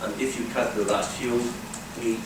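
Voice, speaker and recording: A man with an Icelandic accent giving a conference talk, halting with frequent filler pauses. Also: mid-range voice, steady tone, background noise. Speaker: conference speaker. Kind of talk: workshop talk. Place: conference hall. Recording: echoey hall, distant mic.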